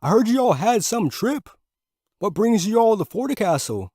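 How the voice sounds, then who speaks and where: A man's voice: high voice, sing-song, normal speed, mellow, husky, no room sound, clean studio sound, exaggerated voice. A man playing a genial, gracious doctor and host in an audio story. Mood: gracious, welcoming.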